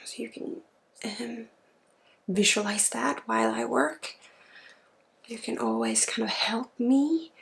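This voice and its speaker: A woman with a Swedish accent speaks very slowly in a healing ASMR style, her voice crackling with vocal fry. She often drops into a breathy whisper and leaves pauses inside her sentences. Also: mid-range voice. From Sweden. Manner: unhurried, halting, with pauses mid-sentence. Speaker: spiritual healer and ASMR host. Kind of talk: spiritual ASMR, guided energy healing.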